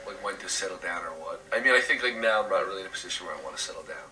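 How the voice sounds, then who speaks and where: A young man talking hesitantly, full of fillers, his voice trailing off quietly. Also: sits low, racing, crowd noise. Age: young adult. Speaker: interviewee. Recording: distant voice with noise.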